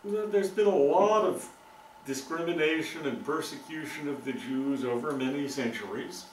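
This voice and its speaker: A man's voice: a little high, moderate pace, distant mic, a little hiss or hum. Older man lecturing in an echoey room. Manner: thoughtful, conversational, with frequent ums. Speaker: guest lecturer. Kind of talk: relaxed lecture talk. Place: meeting room with green walls. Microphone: far camera.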